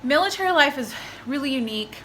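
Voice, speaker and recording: A woman's voice: high-pitched, animated, fast talker, bright, forceful tone, a little hiss or hum. A young woman from Texas, chatting upbeat in a casual vlog introduction. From Texas, USA. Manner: upbeat and chatty, with light laughs. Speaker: vlogger, military spouse.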